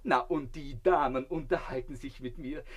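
A man's voice: mid-range voice, normal speed, lo-fi sound, lightly acted. An actor in a radio play voicing a vain, blissfully self-satisfied man who lectures pompously from inside a crocodile. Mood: smug, lecturing. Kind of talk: theatrical radio play acting.

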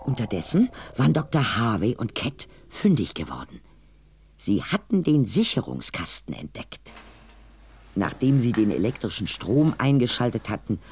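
An actor narrating a German audio drama as a storybook narrator. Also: male voice, lowish voice, a little mellow, a little hiss or hum, heavily acted. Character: storybook narrator of a ghost tale.

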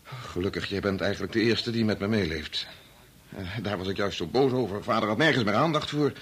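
An actor in a Dutch radio play playing a self-absorbed, irritable medical researcher who talks insistently and repeats himself. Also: male voice, on the low side, fairly quick, a bit bright, close to an everyday voice. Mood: self-pitying, irritated. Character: embittered, self-absorbed male medical researcher, agitated husband.

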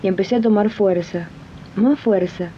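A woman's voice: mid-range voice, moderate pace, mellow, natural voice. Casting: actress as exploited Argentine housemaid finding her fight, earnest radio-drama narrator, dull vintage recording with light noise. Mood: weary, indignant. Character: fed-up, exploited woman domestic worker turned union organizer. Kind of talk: 1980s radio drama acting. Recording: dull, noisy 1980s archive radio tape.

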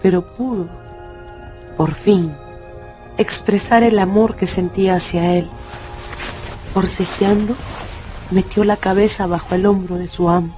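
A male narrator telling a dramatised story for radio in a measured, expressive way. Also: high-pitched, faint music behind.

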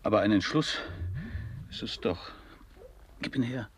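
A man's voice: lowish voice, expressive, unhurried, breathy edge. An actor playing an uneasy, evasive, grumbling man in a radio play, with dry, terse, irritable delivery. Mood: grudging, dry. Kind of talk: absurdist German radio play acting.

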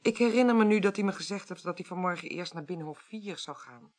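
An actress playing a calm, level-headed clerk to a chamber president in a Dutch radio drama. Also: female voice, fairly low pitch, quick pace, a little hiss or hum, natural voice. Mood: calm, reassuring. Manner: composed, businesslike, reassuring.

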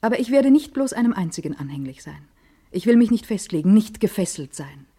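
An actress playing an eager, vain adolescent girl in a literary radio monologue, intimate and expressive. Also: mid-range voice, a little fast, slightly rough. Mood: eager, dreamy. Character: vain, eager adolescent schoolgirl hungry for life.

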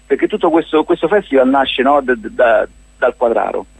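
A man with a Roman accent chatting informally as a guest on a radio show, halting, rambling and joking at his own expense. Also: mid-range voice, expressive, gravelly, breathy edge, rough recording. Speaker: guest presenting a cultural festival. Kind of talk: informal radio interview, unscripted chat.

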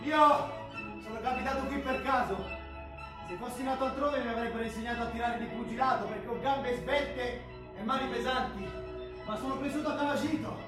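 A man's voice: very high-pitched, a little fast. A man playing a tough, restless young rugby player from the streets in a projected theatrical monologue. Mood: intense, nostalgic. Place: large theatre stage. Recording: echoey theatre from far off, loud music.

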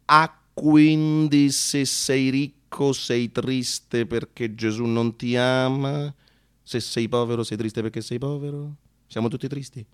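A man's voice: medium pitch, fairly even intonation, takes it slowly, clean tone, dry recording, studio quality, close to an everyday voice. A man in a radio monologue playing a pushy, provocative neighbourhood boy with a bossy, needling manner.

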